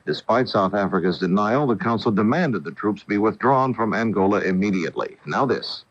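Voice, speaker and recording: A man's voice: lowish voice, lively intonation, normal speed. An American man reading a scripted radio news bulletin in a formal, matter-of-fact manner. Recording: dull lo-fi 1980 radio broadcast.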